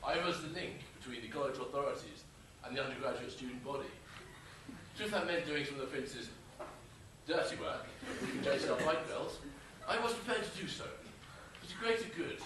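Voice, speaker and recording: A man's voice: a little high, fairly quick, natural voice. Distant, echoey man acting an obliging henchman. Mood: eagerly obliging. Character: obliging male henchman who loves disguises. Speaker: pantomime actor. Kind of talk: live student pantomime acting. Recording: very echoey, distant, loud background noise.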